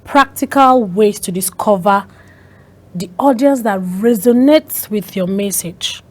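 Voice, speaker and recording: A woman from Africa hosting a talk show, asking a guest questions in a soft voice with frequent short affirmations. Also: mid-range voice, takes it slowly. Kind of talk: interviewing a guest.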